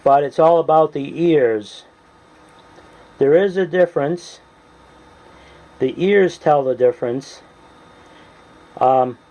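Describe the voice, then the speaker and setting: A man giving a casual, halting, folksy hands-on demonstration as a radio hobbyist, from the United States. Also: mid-range voice, warm tone. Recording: noisy home video with radio sound.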